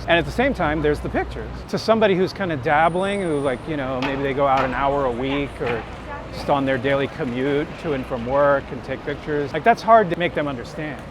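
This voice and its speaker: A man who works as a street photographer and teacher, talking casually and reflectively in an earnest, candid, introspective way. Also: mid-range voice. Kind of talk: reflective casual talk while walking. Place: outdoors on a busy city street. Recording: noisy city street with traffic. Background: street ambience and vehicles.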